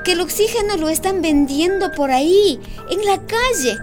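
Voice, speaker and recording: An actress playing an earnest, concerned hospital worker in a radio drama, speaking with urgency. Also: female voice, very high-pitched, lively intonation, moderate pace, music in the background. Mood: troubled, confiding.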